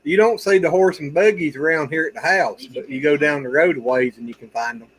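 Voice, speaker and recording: A man's voice: a little high, brisk, low-quality recording. An easygoing man chatting casually on a livestream in a teasing way.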